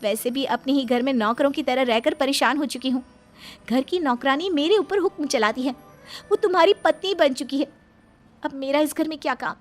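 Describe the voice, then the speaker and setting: A woman's voice: sits high, moderate pace, faint music behind, natural voice. A woman voicing a wronged young wife in a cartoon story, speaking earnestly, in a pleading and protesting way. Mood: hurt, resigned. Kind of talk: Hindi cartoon story voice acting.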